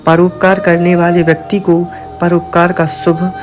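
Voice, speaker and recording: A man with a high voice narrates a moral story from a script. His delivery stays level, with little rise and fall in pitch. Music plays along with the narration. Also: completely flat delivery, moderate pace.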